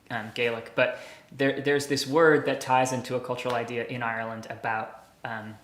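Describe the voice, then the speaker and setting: A man hosting an interview, speaking thoughtfully and hesitantly with many pauses and fillers. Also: medium pitch, fairly bright tone, echoey room. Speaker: interviewer, host. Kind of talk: conversational interview.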